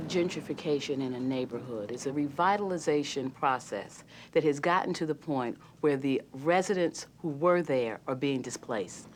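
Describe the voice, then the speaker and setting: A speaker describing displacement in an earnest, deliberate way during a documentary interview. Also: medium pitch, slight background noise. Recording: clear music bed under voice.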